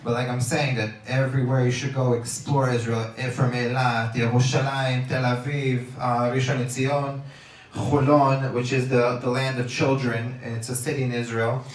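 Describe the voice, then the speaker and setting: A man's voice: mid-range voice, flat delivery, normal speed. A man in casual radio chat as a guest, rambling with many fillers and restarts. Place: outdoors on a beach. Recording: roomy, distant mic, faint noise.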